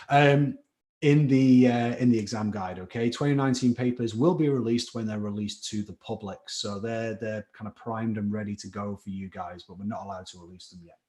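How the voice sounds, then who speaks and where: Chatty Scouse chemistry tutor. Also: male voice, medium pitch, a little mellow. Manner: chatty, encouraging, reads out chat names. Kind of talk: live online class teaching.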